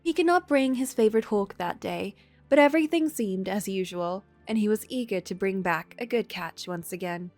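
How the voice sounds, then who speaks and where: A woman's voice: medium pitch, darkish tone. A woman livestreamer reading a visual novel aloud, moving between story narration and casual commentary.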